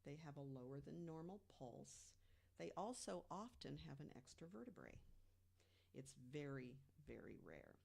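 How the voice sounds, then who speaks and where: A woman speaking as a podcast panelist, telling mysterious material conversationally and deliberately, with emphatic pauses and rhetorical questions. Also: deep voice, sing-song, creaky, a little mellow. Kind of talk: conversational storytelling about mysteries.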